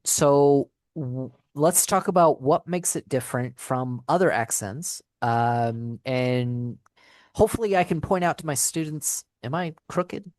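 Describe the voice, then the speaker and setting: A man's voice: medium pitch, a little slow, a little mellow, no room sound. Man hosting a dry-sounding interview. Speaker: host, English teacher. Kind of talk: casual interview.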